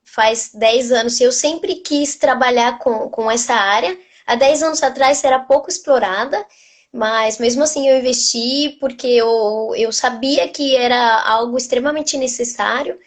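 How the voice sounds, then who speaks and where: A woman's voice: a little high, measured pace. A woman from Argentina with an Argentine Spanish accent, a native Spanish speaker and nutritionist, talking on a video call with frequent restarts. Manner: warm, many hesitations and restarts. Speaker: nutritionist guest. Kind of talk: live online interview.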